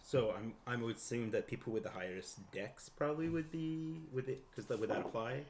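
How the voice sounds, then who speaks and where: A man's voice: medium pitch, brisk, darkish tone. A man talking casually as a player in a tabletop roleplaying game group. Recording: faint background noise.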